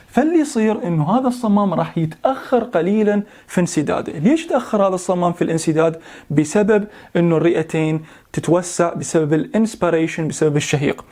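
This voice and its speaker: A man's voice: sits high, dark tone. A young man giving a medical lesson, mixing in English terms and imitating heart sounds aloud. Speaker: medical lecturer.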